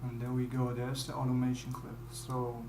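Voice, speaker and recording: A young man with a German accent, a non-native English speaker, explaining step by step in a halting, word-searching way how he builds a beat at his computer. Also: medium pitch, monotone, noisy background. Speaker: music producer. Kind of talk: screen-recorded beatmaking tutorial. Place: dim small room at home. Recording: roomy with piano playing behind.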